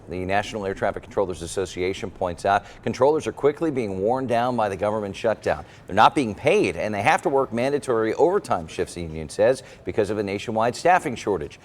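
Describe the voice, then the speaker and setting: Male American morning news anchor, brisk scripted delivery, slightly low voice. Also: animated, a little hiss or hum.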